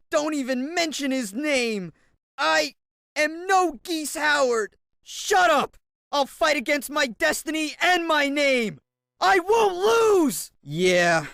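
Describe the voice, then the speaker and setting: A cocky, brooding young male fighter from a fighting game. His short lines are delivered slowly and dramatically, with big swings in pitch. The recording is close and dry. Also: creaky, a bit bright, no room sound, heavily acted. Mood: defiant, angry, then dismissive. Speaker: video game voice actor. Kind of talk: fighting game character voice clips. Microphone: close dry studio recording.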